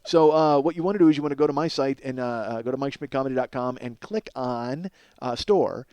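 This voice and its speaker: A man's voice: medium pitch, brisk, a little mellow, clean tone, no room sound, highs cut off. A man hosting a podcast in a casual comedic monologue, rambling, cutting himself off, swearing and mocking himself. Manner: rambling, self-interrupting, profane; self-mocking. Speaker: podcast host.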